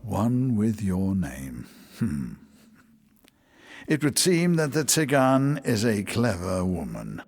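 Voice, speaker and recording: A gravelly, wry royal advisor. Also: male voice, lowish voice, huge pitch swings, takes it slowly, a little mellow, exaggerated voice. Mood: musing. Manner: formal, wry, musing. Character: shrewd, deferential male royal court advisor. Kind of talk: dramatic audio drama acting.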